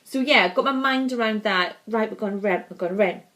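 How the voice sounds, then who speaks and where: A woman's voice: medium pitch, brisk, a bit bright. A young woman with a Scottish accent, chatting casually and bubbly to camera in her vlog, laughing often. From Scotland. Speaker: vlogger.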